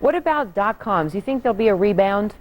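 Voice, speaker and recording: A woman's voice: medium pitch, animated, brisk, a little mellow. A woman with an American accent working as a news anchor, conducting a broadcast interview. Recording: TV broadcast with clear background noise.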